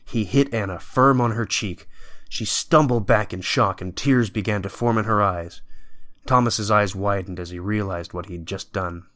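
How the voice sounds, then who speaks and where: A man's voice: lowish voice, normal speed, sharp tone, no room sound, clean studio sound. A man narrating a scripted dramatic story as the narrator of an audio drama.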